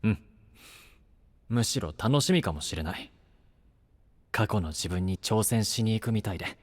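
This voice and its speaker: Brash, earnest young knight, very expressive. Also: male voice, lowish voice, measured pace. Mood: calm, wry. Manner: blunt, casual rough masculine speech. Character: brash, earnest young male knight-to-be, rough-spoken but devoted. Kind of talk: anime-style voice drama acting.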